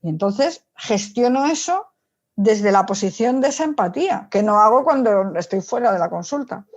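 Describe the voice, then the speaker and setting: A woman's voice: medium pitch, animated, normal speed. A woman psychologist answering as an interview guest, talking informally and emphatically, often with rhetorical questions. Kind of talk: conversational interview, explaining opinions. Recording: dull lo-fi audio.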